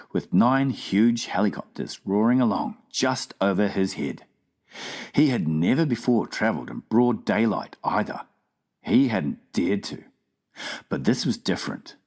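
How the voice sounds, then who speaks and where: A woman's voice: extremely low pitch, darkish tone, breathy edge. A woman narrating a children's audiobook in a dramatized storytelling style.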